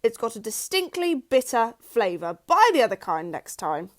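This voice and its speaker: A woman's voice: fairly high pitch, big pitch swings, moderate pace. A woman voicing a nasty old man who plays cruel tricks, speaking with mocking, gleeful menace. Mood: grumbling.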